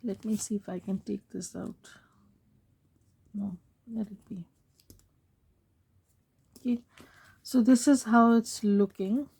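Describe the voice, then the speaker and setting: A woman walks through a journaling craft project in a slow, unhurried way, her voice hoarse as she is losing it. She speaks softly with a mellow, dark tone and often pauses to clear her throat. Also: mid-range voice, poor audio. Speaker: craft channel host. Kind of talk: casual craft tutorial voice-over.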